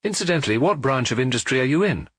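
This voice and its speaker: Man playing a pressing French police inspector, husky. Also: medium pitch, lively intonation, quick pace, no room sound, clean studio sound, pitched above the performer's own voice. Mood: probing. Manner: insistent, probing questions. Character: French police inspector interrogating a suspect, firm, pressing, sympathetic.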